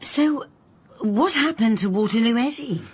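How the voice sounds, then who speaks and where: A woman's voice: a little high, animated, a little slow, breathy edge, exaggerated voice. An actress playing a spirited, impulsive and wryly cheerful woman detective in a radio drama. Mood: wry, curious. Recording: radio drama with music underneath.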